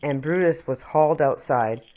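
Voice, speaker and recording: A woman reading a children's picture book aloud as a storyteller, expressively and with dramatic pauses. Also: low-pitched, a little mellow.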